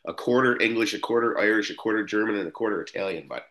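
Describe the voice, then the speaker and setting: A fifty-something man from Buffalo, New York, with a fairly low voice, speaks to a recovery meeting. He wanders through his story casually, doubling back on his words and filling pauses with "uh". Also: fairly bright tone. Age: 50s. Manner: rambling, frequent restarts and fillers. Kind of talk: personal recovery talk.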